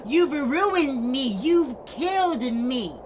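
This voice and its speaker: Slow, emphatic, wounded friend voiced by a woman. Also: high voice, lively intonation, exaggerated voice. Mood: hurt, furious. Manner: deliberate, emphatic, accusing. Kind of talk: audio drama acting. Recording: clear background noise and ambience.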